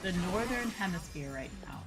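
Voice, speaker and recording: A woman's voice: mid-range voice, lively intonation, moderate pace. A woman livestreamer chatting casually to her viewers in a rambling, repetitive way. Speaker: VTuber streamer. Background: background music.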